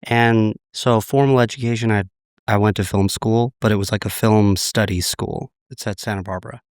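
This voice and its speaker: A man in his late thirties, a podcast guest, slightly raspy from a recent illness, talking in a relaxed, reflective way. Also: fairly low pitch, normal speed, no echo, clean studio sound. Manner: relaxed, reflective, many "like" fillers. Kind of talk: casual interview chat.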